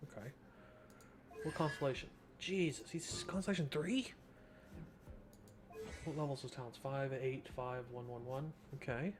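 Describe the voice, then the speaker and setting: A man's voice: medium pitch, measured pace, pauses often, noisy background. A young man giving casual, slangy, joking gameplay commentary as a streamer. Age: young adult. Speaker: game streamer.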